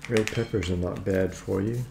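Older guy in his 70s chatting while eating, gravelly voice, noisy room w silverware clinking. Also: low voice, steady tone. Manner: halting short phrases, talking while eating. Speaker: vlogger eating on camera. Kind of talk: casual mealtime chat to camera. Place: home dining room. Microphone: camera across the table.

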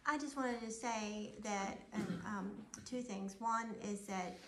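A woman speaks up earnestly in a group discussion, with a mid-pitched voice. The room is clearly echoey, with faint noise behind her. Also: slow pace. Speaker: Bible study participant.

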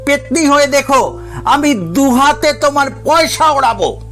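A male narrator puts on an angry young man in an audio story, mocking and defiant. The voice is pushed high, with music underneath. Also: animated, unhurried, sharp tone, full character voice. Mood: spiteful taunting. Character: angry, taunting young man defying his aunt.

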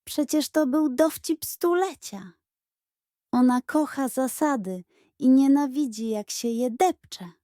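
A woman's voice: sits high, takes it slowly, mellow, dry recording, clean studio sound. A woman voicing a mischievous, defiant schoolboy who mutters schemes under his breath in a children's audio story. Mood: exasperated. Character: mischievous defiant schoolboy plotting revenge on teacher.

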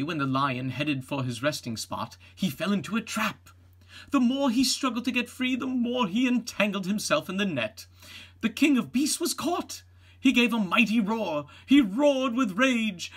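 A middle-aged man reading a children's storybook aloud as an expressive narrator. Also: high voice, breathy edge.

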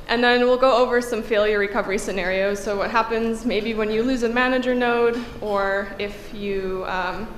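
A woman's voice: medium pitch, a bit bright. A woman in her thirties giving a technical conference talk at a podium, a little congested from a cold, speaking conversationally with frequent fillers. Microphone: podium microphone. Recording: echoey hall, faint room hum.

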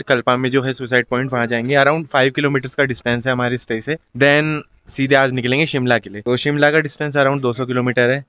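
A man's voice: mid-range voice, clear, resonant tone, no room sound. A young man in his twenties chatting casually as a travel vlogger, filming himself at arm's length outdoors. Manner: chatty, relaxed, says "yaar" often. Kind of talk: casual travel vlog to camera. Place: outdoors on mountain roads and hotel grounds. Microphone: phone or camera held at arm's length.

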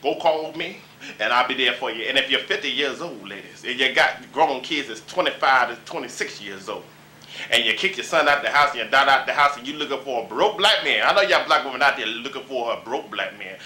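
A man's voice: mid-range voice, animated, brisk, bright, forceful tone, slight background noise. A man in his forties from Dayton, Ohio, doing a rambling, boastful comic rant on a local talk show. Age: 40s. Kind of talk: comic monologue and banter. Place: small room indoors.

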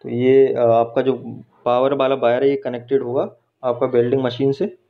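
A man's voice: mid-range voice, little pitch movement, moderate pace, creaky. Workshop instructor, man, patient step-by-step explainer, dull phone audio. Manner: explains step by step, checks in with "ठीक है" and "है ना". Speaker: teacher. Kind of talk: hands-on technical explanation. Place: welding workshop. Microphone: phone held while filming.

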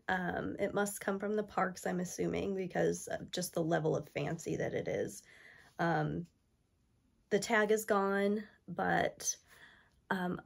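Stuffy-nosed woman chatting, a bit gravelly. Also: mid-range voice. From New York State. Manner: chatty, enthusiastic, many ums. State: stuffy from allergies. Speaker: thrift haul vlogger. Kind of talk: casual chat to camera. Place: indoor room with toy shelves.

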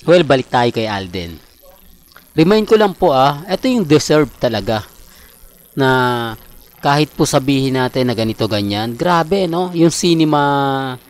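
A Filipino man with a mid-pitched voice hosts a fan channel. He talks casually, in the manner of an update to loyal followers, and keeps tossing in short tag questions to get agreement. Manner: conversational, repeatedly seeking agreement with tag questions. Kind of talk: casual celebrity update commentary.

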